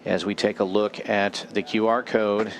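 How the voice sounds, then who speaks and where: Low-voiced male poker play-by-play commentator. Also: little pitch movement, a little slow, a little hiss or hum. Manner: calls the action steadily, short phrases.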